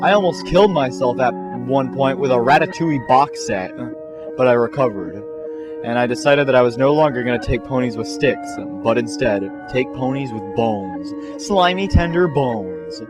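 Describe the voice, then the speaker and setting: A man's voice: mid-range voice, lively intonation, moderate pace, close to an everyday voice. A man voicing a huge male pony robber, menacing yet self-pitying, in a comic fan-fiction story. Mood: ominous. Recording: music behind the voice.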